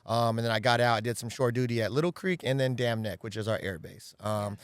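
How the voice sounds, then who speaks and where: A man in his thirties from the American West Coast talks through his story as an interview guest. His voice is smooth and resonant, at a middle pitch, and it sounds dry and close. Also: quick pace, dry recording. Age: 30s. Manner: earnest, talkative, often says "right?" and "um". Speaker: guest, real estate agent. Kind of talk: casual interview talk. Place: outdoors in a backyard.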